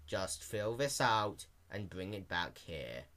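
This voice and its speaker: A man voicing an emotionless, rule-bound pony clerk in a story reading, in a slow deadpan monotone. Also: sits low, full character voice. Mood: flat.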